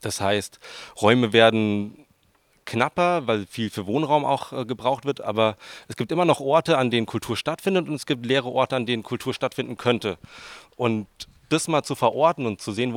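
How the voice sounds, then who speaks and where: Creaky young man with southern Hessian accent. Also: mid-range voice, moderate pace, no room sound. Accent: southern Hessian German. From southern Hesse, Germany. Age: 20s. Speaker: interviewee, student researcher. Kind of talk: informal interview answers.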